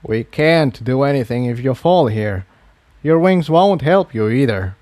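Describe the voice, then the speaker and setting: A man voicing a gruff, scornful male pegasus pony instructor in a fantasy story reading. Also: medium pitch, animated, a little slow, darkish tone, no room sound, natural voice. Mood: stern. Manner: curt, scornful. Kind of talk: fan fiction story reading.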